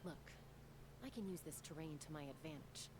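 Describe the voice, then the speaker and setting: An actress playing a confident, level-headed, protective woman scout in a video game, assured and deliberate. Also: low-pitched, noisy background, close to an everyday voice. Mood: confident, firm.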